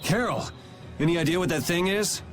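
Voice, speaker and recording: A man's voice: sits high, brisk, over music, lightly acted. A man playing a cocky, laid-back young rebel swordsman in an anime video game. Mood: alert.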